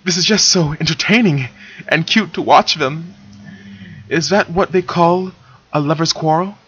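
A man's voice: on the high side, unhurried, fairly bright tone, lo-fi sound, slight background noise, voice pushed up. A man voicing a gushing, romantic princess who is a younger sister, in a raised put-on voice. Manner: gushing and gleeful.

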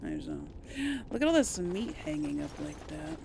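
A woman's voice: low-pitched, huge pitch swings, normal speed, vocal fry, background noise. A woman giving casual, chatty gameplay commentary, talking to herself and to the game's characters. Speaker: host of a role-play gaming series. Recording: game music behind the voice. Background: video game music.

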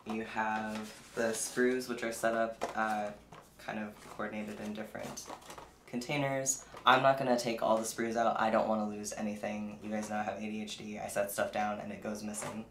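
Young adult vlogger, informal haul presenter, medium-pitched voice, roomy home recording. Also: level tone, normal speed. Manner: informal, chatty. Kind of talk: casual unboxing chat to camera. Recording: roomy echo, clear background noise, clicks.

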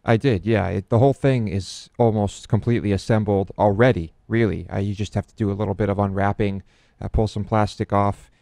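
A man's voice: lowish voice, steady tone, a little fast, gravelly, dark tone, dry recording. A young man, the co-host, answering briefly in a laid-back, casual way on a studio mic. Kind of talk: casual chat. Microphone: headset with studio mic.

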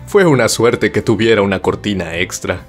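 A man's voice: fairly low pitch, expressive, moderate pace, faint music behind. A man playing a laid-back, practical teenage student in an anime fandub, casual and easygoing.

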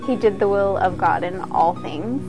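A woman's voice: medium pitch, normal speed, over music. A woman giving an informal instructional talk as a yoga teacher, conversational and earnest.

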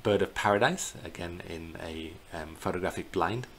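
A middle-aged man giving an unscripted slideshow narration as a tour guide, in a hesitant, halting way, recorded indoors on a computer microphone. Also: low-pitched. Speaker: bird tour guide presenting a virtual tour. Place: indoors in a small plain room. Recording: clear background noise.

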